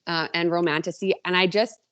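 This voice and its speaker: A woman with a slightly low-pitched voice talks as a guest author on a podcast. Her delivery is chatty and informal, and she often acts out her own thoughts in passing. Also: steady tone, brisk, a bit bright. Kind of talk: casual conversation.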